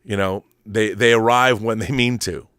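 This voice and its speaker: A man's voice: fairly low pitch, steady tone, moderate pace, a bit bright, clean tone, no room sound, studio quality. A middle-aged man giving casual, rambling opinion commentary in an emphatic, conversational way, often digressing. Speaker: host of a film and book commentary show.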